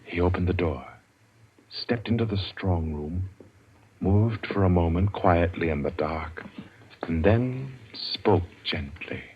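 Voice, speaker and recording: A man narrating a suspense radio drama in a measured, deliberate old-time storytelling style. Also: low voice, lively intonation, a little hiss or hum. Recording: dull vintage radio recording with music.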